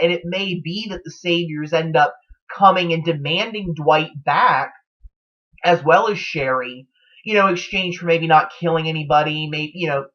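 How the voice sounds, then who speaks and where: A man's voice: a little high, a bit bright. A man giving casual, speculative commentary as a vlog host, in a hesitant, rambling manner. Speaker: host of a TV show theory vlog. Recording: dull, lo-fi recording.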